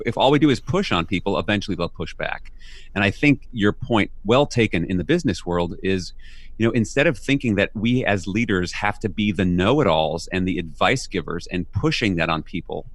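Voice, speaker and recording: Male author guest, lowish voice, articulate and explanatory, dry. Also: fairly bright tone, dry recording. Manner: articulate, explanatory, uses "right?" tags. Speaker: guest, leadership coach and author. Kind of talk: interview answers.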